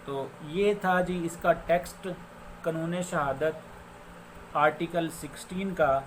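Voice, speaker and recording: A Pakistani man with a fairly high voice explains legal points as a lecturer. He speaks very slowly and deliberately, often restating phrases. There is faint noise behind him. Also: rough recording. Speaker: law lecturer, advocate. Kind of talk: explanatory law lecture mixing Urdu with English legal terms.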